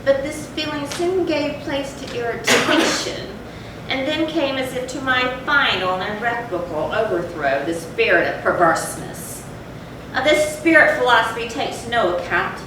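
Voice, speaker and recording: A woman playing a tormented, confessing animal lover turned cruel drunkard, in a deliberate, formal, theatrical reading of a gothic horror story. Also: fairly high pitch, expressive, natural voice. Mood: remorseful, brooding. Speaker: readers theatre performer. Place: large echoey room, far from the mic. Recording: very echoey, distant, noisy live hall recording.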